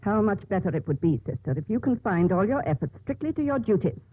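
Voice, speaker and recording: A woman's voice: mid-range voice, big pitch swings, fast talker, breathy edge. An actress playing a stern, authoritative Mother Superior in a 1950s radio drama. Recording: muffled old radio broadcast with music.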